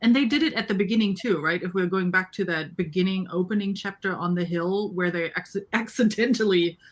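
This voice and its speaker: A woman's voice: on the low side, brisk. A woman talking casually and emphatically in a book discussion, often checking agreement as she goes.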